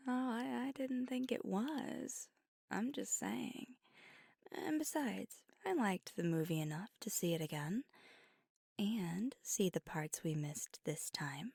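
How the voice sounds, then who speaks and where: A woman playing a flirty, teasing, affectionate vampire on a date in an intimate ASMR roleplay, speaking softly and often dropping to a whisper. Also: mid-range voice, creaky, slightly rough, dry recording, clean studio sound, exaggerated voice. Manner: playful, teasing, slow and intimate. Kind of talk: ASMR roleplay, intimate one-sided dialogue.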